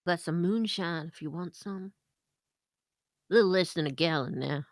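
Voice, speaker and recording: A woman's voice: on the low side, measured pace, dry recording, studio quality. A woman playing a tabletop role-playing game, talking casually at the table.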